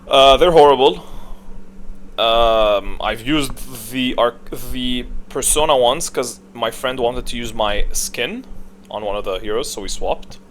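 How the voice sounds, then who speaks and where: A man with a medium-pitched voice talks off the cuff about games, like a creator ranking things for his viewers. He is blunt, opinionated and slangy. There is noticeable noise behind his voice. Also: takes it slowly. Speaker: gaming video creator. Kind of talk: casual off-the-cuff tier list commentary.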